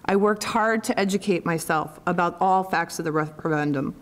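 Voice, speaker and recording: A woman's voice: lowish voice, moderate pace. A middle-aged woman giving a prepared opening statement as a school board candidate at a public forum, reading steadily with a few stumbles.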